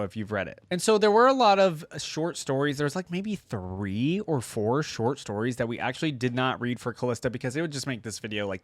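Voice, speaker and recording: A man with a mid-pitched voice hosts a reaction video in a dry recording. He talks casually and off the cuff to viewers, rambling along conversationally with frequent filler words. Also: dry recording.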